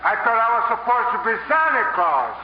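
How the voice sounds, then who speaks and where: Dim high-pitched crook, echoey. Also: male voice, sing-song, quick pace, slight background noise. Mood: puzzled. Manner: dim, slangy. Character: dim, eager Broadway crook, easily confused. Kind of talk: 1950s comedy radio play acting. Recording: echoey, dull old radio recording.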